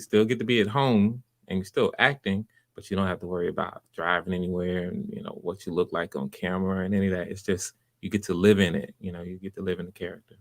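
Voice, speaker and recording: Low-voiced man hosting, halting and encouraging. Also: a bit bright, no room sound, studio quality. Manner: encouraging, supportive; halting, repeats words and backtracks. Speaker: host running live voice auditions. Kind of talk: casual, unscripted live-stream chat.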